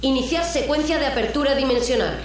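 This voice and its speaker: A woman playing a spaceship commander giving crisp orders in an amateur radio drama. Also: sits high, fairly quick, distant mic, slight background noise, natural voice. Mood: commanding. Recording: roomy audio drama with loud music.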